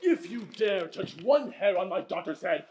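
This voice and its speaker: An actor playing a frantic, hot-tempered middle-aged stage star in a farcical comedy, blustering and exclamatory. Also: male voice, high voice, animated, moderate pace, a crowd in the background. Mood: agitated, furious. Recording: echoey, very noisy live theater recording.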